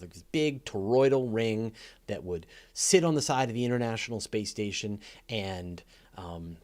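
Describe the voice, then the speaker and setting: A man hosting a live question-and-answer show, explaining things in an informal, emphatic way and repeating phrases for stress. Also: medium pitch. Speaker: host of a live astronomy Q&A show.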